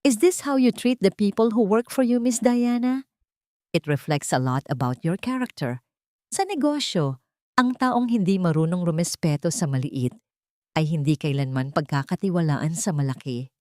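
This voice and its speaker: Poised commanding heiress, deliberate and cool woman acting. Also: lowish voice, lively intonation, darkish tone, dry recording, clean studio sound, lightly acted. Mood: stern. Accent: Filipino. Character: poised, commanding rich woman with guarded resolve.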